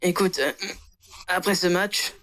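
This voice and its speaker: A woman's voice: fairly low pitch, a little slow, fairly bright tone. A woman playing a sincere, friendly teenage boy who loves basketball in an anime fan dub. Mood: worried, confiding. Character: earnest, sporty teenage boy, basketball player. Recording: music under the voice.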